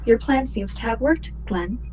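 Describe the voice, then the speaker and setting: A woman playing a maternal, formal starship artificial intelligence in a sci-fi radio play, speaking in precise, measured sentences. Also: on the high side, lively intonation, a little mellow, dull audio, natural voice. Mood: calm, precise. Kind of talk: science fiction radio play acting.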